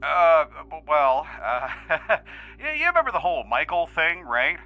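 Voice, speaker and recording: A man's voice: a little high, a little slow, faint music behind, exaggerated voice, poor audio. A man playing a brash, jokey magician who rambles casually through his stories in an audio drama. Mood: animated, sheepish. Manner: animated, hesitant rambling storytelling. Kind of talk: conversational audio drama acting.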